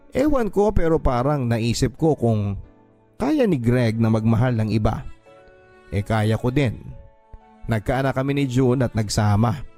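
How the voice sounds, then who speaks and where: A man with a Filipino accent reading a first-person love story as a radio host, in a measured, sentimental dramatic narration. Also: medium pitch, mellow. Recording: music bed behind the voice. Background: background music.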